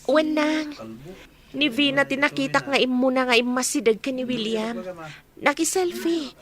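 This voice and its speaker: A woman plays an earnest young woman confiding in an elder. The voice is high and deferential, with an Ilocano accent, in radio drama style. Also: moderate pace, lightly acted.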